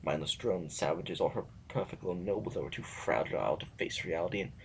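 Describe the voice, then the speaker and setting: An actor playing a grave, reflective scholar in an audio drama, speaking in a measured, brooding way. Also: male voice, deep voice, creaky, a little hiss or hum. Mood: brooding, bitter. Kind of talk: scripted audio drama acting.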